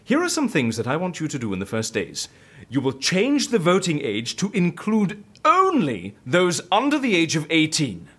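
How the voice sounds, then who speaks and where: Gleeful, high-pitched eccentric schemer. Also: male voice, huge pitch swings, normal speed, a bit bright, husky, full character voice. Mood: gleeful, commanding. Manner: theatrical, relishing, bossy. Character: gleeful eccentric male schemer plotting absurd political stunts. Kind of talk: audio comedy drama acting.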